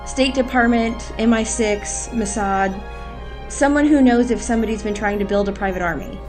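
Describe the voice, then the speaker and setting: An actress playing a weary, commanding leader in an audio drama, with firm, dryly sarcastic delivery. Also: female voice, a little high, moderate pace, over music, close to an everyday voice. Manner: firm, dry, sardonic.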